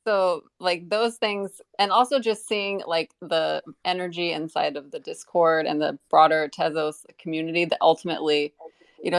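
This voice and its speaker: An American woman chatting casually as an interview guest, rambling and halting as she rephrases mid-sentence. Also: lowish voice, creaky. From United States. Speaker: interview guest, community member. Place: live online audio group chat.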